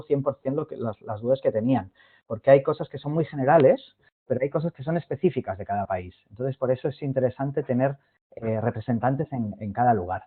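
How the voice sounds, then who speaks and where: A man giving a hesitant online presentation, full of fillers and restarts. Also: mid-range voice. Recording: tinny phone-like line.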